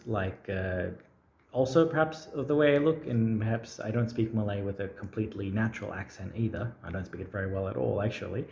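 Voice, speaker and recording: A man's voice: on the low side, darkish tone. A man talking casually and unscripted to camera, thoughtful and rambling, with frequent hesitations. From Australia. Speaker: language-learning vlogger. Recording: roomy echo.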